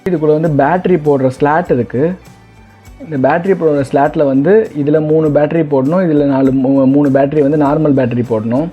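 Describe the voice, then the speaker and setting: A man's voice: fairly high pitch, fairly even intonation, a little fast, mellow, slightly rough. A man hosting a casual unboxing, talking conversationally and mixing in English words. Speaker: video host. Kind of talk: casual product unboxing commentary. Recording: dull lo-fi, faint music behind. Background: faint music.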